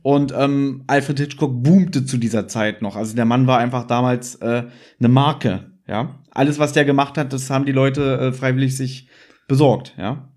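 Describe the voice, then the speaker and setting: A man's voice: mid-range voice, steady tone, moderate pace, darkish tone. Man chatting as a podcast host. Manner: discursive, adds asides and tag questions. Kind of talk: casual podcast chat, explaining.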